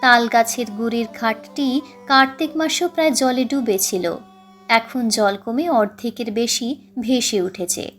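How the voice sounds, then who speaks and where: A high-pitched woman reads a literary tale aloud. Her delivery is measured and dramatic, like an audiobook storyteller, with music behind her. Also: a bit bright. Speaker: audiobook narrator. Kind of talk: dramatic scripted story narration.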